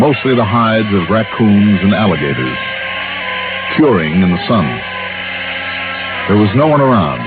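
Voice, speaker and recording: Male actor as radio private investigator: lowish pitch, wry, conversational, folksy asides, tinny old broadcast with music underneath. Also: lightly acted. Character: tough, wry, easygoing male insurance investigator narrating his case. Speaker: detective hero and narrator. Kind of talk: 1950s radio detective acting, first-person narration.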